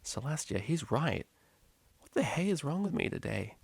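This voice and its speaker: A man's voice: a little high, very animated, normal speed, creaky, husky, no room sound, pitched above the performer's own voice, full character voice. A man voicing a moody young female unicorn student and DJ, curt and slangy, in a story reading. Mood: self-reproach.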